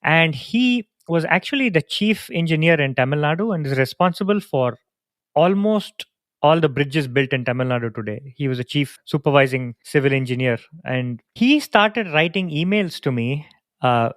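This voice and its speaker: A man from India in a relaxed, reflective podcast conversation, telling family stories. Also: a little high, moderate pace, no room sound, clean studio sound. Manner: reflective, storytelling. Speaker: podcast co-host. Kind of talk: casual conversation.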